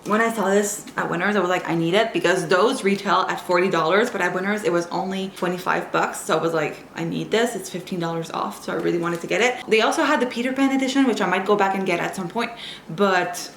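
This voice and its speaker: A woman's voice: lowish voice, quick pace, fairly bright tone, slight background noise. A young woman whose first language is French, chatting casually in a reading vlog and often saying "like". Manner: chatty, rambling, lots of "like". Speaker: vlogger.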